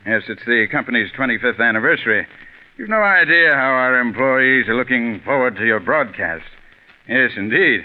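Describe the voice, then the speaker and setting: An actor playing a courteous, fussy, eager-to-please company executive in a 1940s radio drama. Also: male voice, medium pitch, expressive, normal speed, natural voice. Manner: polite, fussy, throat-clearing. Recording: tinny 1940s radio recording.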